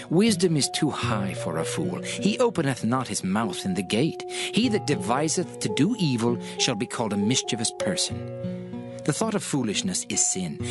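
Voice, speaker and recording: A man giving a dramatized scripture reading, solemn, measured and emphatic in his delivery. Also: mid-range voice. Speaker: scripture narrator. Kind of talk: dramatized scripted Bible reading in archaic English. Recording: music playing under the voice. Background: music.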